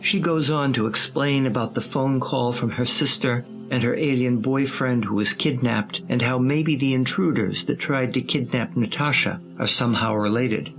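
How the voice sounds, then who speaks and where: A man's voice: medium pitch, normal speed, hardly any pauses, a bit bright. A man reading scripted present-tense narration for an audio drama.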